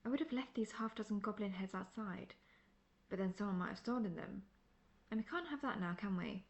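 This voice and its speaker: Woman performing a world-weary female monster hunter, mid-pitched, gravelly fry, formal phrasing, dry condescension, fantasy audio drama. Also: natural voice. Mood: dry, wry. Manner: measured, formal, dryly condescending. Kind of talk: fantasy roleplay audio acting.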